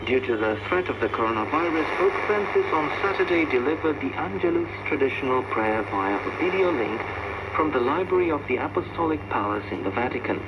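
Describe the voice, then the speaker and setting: A man's voice: mid-range voice, lively intonation, brisk. A man reads a scripted international news bulletin as a radio newsreader, heard over shortwave. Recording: very noisy, dull shortwave radio reception. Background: loud radio static and noise.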